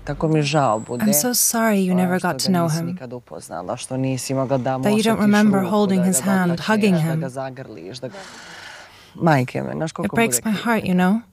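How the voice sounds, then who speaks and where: Slow-talking gentle woman in a crowd. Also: fairly low pitch, expressive. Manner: gentle, consoling. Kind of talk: casual conversation. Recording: conversation with a crowd behind.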